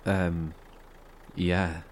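A man's voice: deep voice, level tone, extremely slow. A man playing a suspicious survivor in an audio drama, speaking in a terse, hesitant, guarded way. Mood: uneasy. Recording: faint car engine noise.

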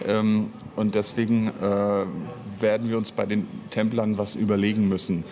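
A man's voice: fairly low pitch, level tone, normal speed, mellow. A man speaking unscripted as an interview guest, an audio drama author and director who reflects hesitantly and searches for words.

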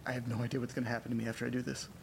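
An actor in a horror audio drama playing a weary, desperate man who records himself at home, muttering in halting, wry, sweary monologue. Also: medium pitch, level tone, vocal fry, background noise, natural voice. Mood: somber, resigned.

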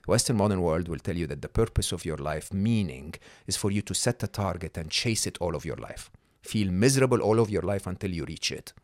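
A man's voice: low voice, expressive, fairly quick, few pauses, darkish tone, no room sound, clean studio sound. A middle-aged man whose first language is not English, a podcast guest explaining ideas emphatically and often checking in with the listener. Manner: emphatic, checks in with "okay?" and "hmm?". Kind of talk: conversational coaching talk.

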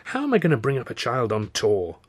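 A man voicing a sharp, teasing young mother in an audiobook, easily exasperated. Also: medium pitch, wildly swooping pitch, a little fast, husky. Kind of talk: audiobook character dialogue.

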